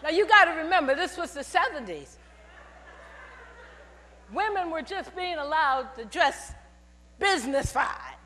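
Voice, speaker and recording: A woman's voice: high voice, unhurried, long pauses. An older woman in a purple robe giving a spoken testimony from the pulpit, telling stories and calling out to the congregation. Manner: animated storytelling with call-and-response. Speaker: church speaker giving testimony. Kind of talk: testimony sermon. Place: large church sanctuary at pulpit. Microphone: headset mic. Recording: long church reverb with mains hum.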